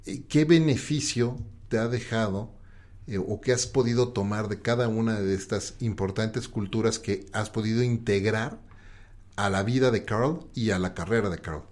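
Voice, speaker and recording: A man's voice: lowish voice, moderate pace, a little mellow. A man with a Mexican accent hosting a conversational podcast interview, posing long, reflective questions.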